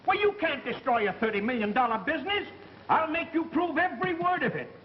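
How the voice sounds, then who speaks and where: A man's voice: extremely high pitch, expressive, brisk. A man acting a frantic, blustering radio station boss in an agitated, demanding 1930s melodrama style, on an old film soundtrack. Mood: indignant. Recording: muffled, hissy vintage 1930s film soundtrack.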